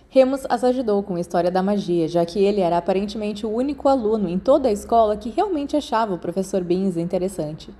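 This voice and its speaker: A woman's voice: medium pitch, dark tone. A woman with a Brazilian accent reads scripted fiction as an audiobook narrator.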